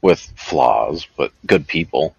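Deep voice guy chatting on a podcast, real slow and hesitant, dry slightly muffled audio. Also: animated, creaky, a little mellow, breathy edge, no room sound. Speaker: podcast co-host. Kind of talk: casual chat.

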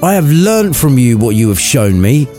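Grumpy old miser guy done by a male reader, shaken n defensive, soft dark tone, storybook, music behind. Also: medium pitch, very animated, moderate pace, close to an everyday voice. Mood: defiant. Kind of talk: irreverent storybook retelling.

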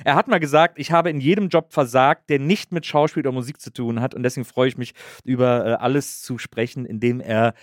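A man hosting a podcast, warmly effusive in his introductions and casual chat, often pausing on fillers. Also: mid-range voice, steady tone, no echo, studio quality. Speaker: podcast host.

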